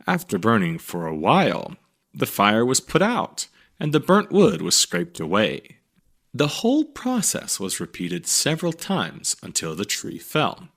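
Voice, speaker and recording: A man reading a scripted educational story for children in a measured, clearly phrased read-aloud manner. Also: lowish voice. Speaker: narrator reading a children's magazine article.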